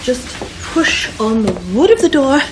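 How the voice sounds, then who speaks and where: A man voicing a fussy, frightened older woman who fears doorknobs, in an anxious, hushed and pleading manner. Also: extremely high pitch, very animated, a little slow, background noise, pitched above the performer's own voice, heavily acted.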